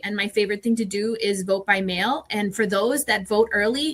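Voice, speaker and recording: Bright, chatty woman from Milwaukee. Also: a little high. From Milwaukee, United States. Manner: upbeat, chatty, personal. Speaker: election official guest. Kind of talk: casual interview answers.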